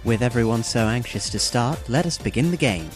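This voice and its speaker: A man playing a polite young game organizer in an audio drama, speaking in a formal, measured, explanatory way. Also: medium pitch, expressive, soft background music, natural voice. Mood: composed, formal. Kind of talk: anime-style audio drama acting.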